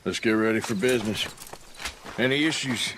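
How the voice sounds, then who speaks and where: An actor playing a gruff, cynical outlaw in a Western video game, with an American Western drawl and a terse, dismissive manner. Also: male voice, on the low side, moderate pace, background noise, natural voice. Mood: wary. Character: gruff, cynical adult male American outlaw in the Old West. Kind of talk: dramatic video game acting.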